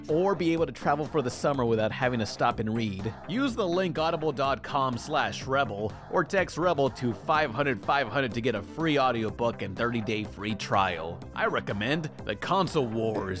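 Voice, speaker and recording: A man hosting a review show, reading a scripted, sarcastic and mocking commentary. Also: medium pitch. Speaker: host of a cartoon review show. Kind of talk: scripted review narration with sponsor read. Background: music bed.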